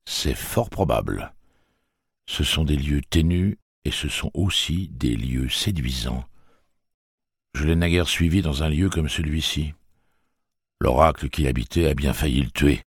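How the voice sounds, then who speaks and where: A man reading the part of a stern, weathered older gunslinger, speaking slowly and coldly. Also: rumbling low, husky, no room sound, clean studio sound, full character voice. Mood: calm. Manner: slow, measured, cold.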